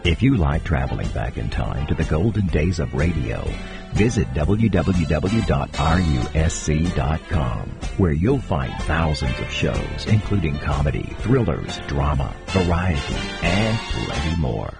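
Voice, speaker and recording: A male announcer delivers scripted promo narration in an extremely low voice with a gravelly edge and animated swings of pitch. Loud music plays behind him. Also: measured pace.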